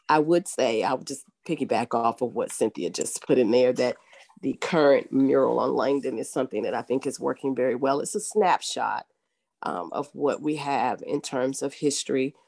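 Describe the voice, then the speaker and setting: Hoarse, croaky woman on a call. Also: very deep voice, normal speed, a little mellow. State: hoarse, recovering from illness. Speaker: community working group member. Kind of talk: casual meeting remarks. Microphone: video call.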